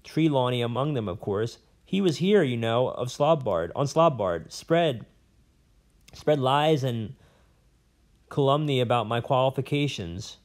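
A man's voice: medium pitch, expressive, measured pace, close to an everyday voice. A man voicing a pompous, rambling old male professor in an audiobook, blustering and fussy. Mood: boastful. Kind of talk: audiobook character voice.

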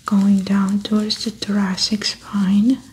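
A young woman whispering softly in an ASMR medical roleplay, calmly narrating a clinical exam as she works. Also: mid-range voice, slow pace, rough recording. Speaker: ASMR creator playing a doctor. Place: small indoor studio set.

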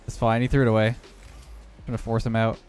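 Hoarse man calmly commentating his gameplay. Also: on the low side, fairly quick, soft background music. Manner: relaxed running commentary on his own plays. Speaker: gaming YouTuber.

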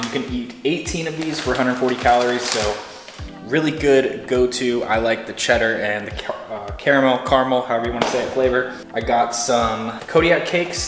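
An American man in his thirties chatting casually to a camera held at arm's length in his home kitchen, as a fitness vlogger. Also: medium pitch. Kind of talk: casual vlog talk to camera. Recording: echoey kitchen with music underneath. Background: background music.

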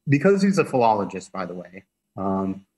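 A man appearing as a guest expert, explaining history in a relaxed way and chuckling as he goes, heard over a remote video call. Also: low voice, moderate pace, slightly rough.

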